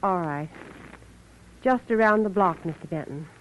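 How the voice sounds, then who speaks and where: An actress playing a polite, refined, gently resisting well-to-do woman in an old-time radio drama, proper and hesitant. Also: lowish voice, moderate pace, lightly acted. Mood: yielding. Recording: dull, noisy vintage radio recording.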